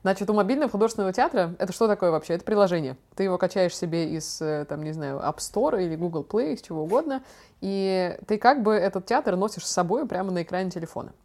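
A young woman talks quickly on a casual podcast. She is chatty and slangy, spinning out stories in an animated, informal way. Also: medium pitch. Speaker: podcast co-host, daughter talking with her father.

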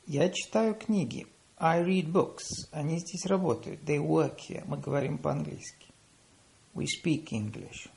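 A man reading paired lesson phrases, saying the English slowly and deliberately after quicker Russian. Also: fairly high pitch, vocal fry, darkish tone. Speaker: language teacher.

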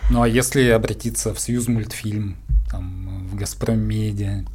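A man's voice: fairly low pitch, little pitch movement, a little slow, darkish tone, breathy edge, faint music behind. A man working as an interviewer, asking probing questions in a casual, conversational way.

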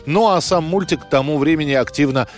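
A man's voice: fairly high pitch, brisk. A man reads a scripted history documentary narration. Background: music bed.

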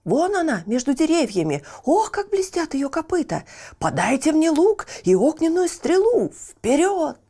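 A woman voicing a haughty, commanding, excitable male raja in a children's fairy tale. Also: high voice, unhurried, voice pushed up, heavily acted. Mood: excited, commanding. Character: haughty, imperious Indian raja on a hunt.

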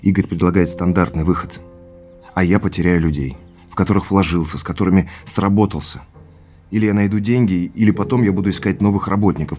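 A man's voice: low voice, a little mellow, lightly acted. An actor playing an earnest, determined businessman husband in a naturalistic audio drama.

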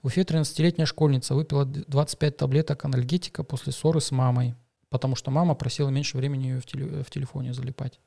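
A man's voice: medium pitch, little pitch movement, very fast, gravelly, warm tone. A man, a father of a young schoolgirl, co-hosting a casual astrology podcast, reading news and talking with hesitant fillers and restarts.

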